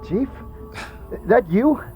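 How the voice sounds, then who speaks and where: An actor playing a frantic, stammering skull sidekick, pleading and slangy, with a husky voice in a fantasy audio drama. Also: male voice, extremely high pitch, lively intonation, extremely slow, over music, exaggerated voice. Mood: panicked, pleading. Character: panicky, pleading wisecracking floating skull sidekick.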